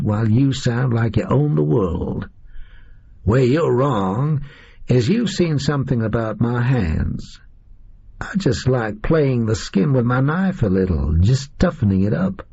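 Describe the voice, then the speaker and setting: A man's voice: mid-range voice, creaky, mellow, breathy edge, pitched above the performer's own voice, heavily acted. A man voicing a tough, sardonic gunman who drawls in casual slang. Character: tough American male gunman, blunt and sardonic. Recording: muffled lo-fi recording.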